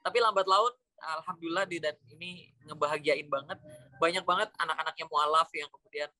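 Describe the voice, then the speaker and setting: A man's voice: fairly high pitch, brisk, sharp tone, no room sound. A man from Indonesia speaking as an interview guest, informally telling personal stories and mixing in English words. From Cirebon, West Java, Indonesia. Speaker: interview guest, Islamic school educator. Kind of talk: conversational personal storytelling.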